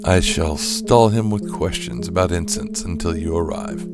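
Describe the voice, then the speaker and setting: A man playing a soft-spoken, formal and dryly witty keeper of an occult shop in a gothic audio drama, with measured delivery. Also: deep voice. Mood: quiet, guarded. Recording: loud music bed under the voice.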